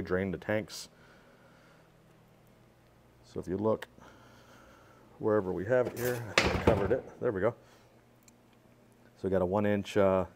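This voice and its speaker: Low-voiced man touring a workshop build. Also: darkish tone. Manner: explaining hands-on while filming himself. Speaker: pressure washing skid builder. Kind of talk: casual walkaround tour.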